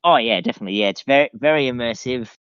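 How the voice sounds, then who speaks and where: Aussie guy chatting, keen but stop-start, smooth voice, bit lo-fi. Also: mid-range voice, fairly bright tone, dry recording. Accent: Australian. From Australia. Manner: hesitant, halting, enthusiastic. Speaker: podcast guest. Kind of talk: casual chat.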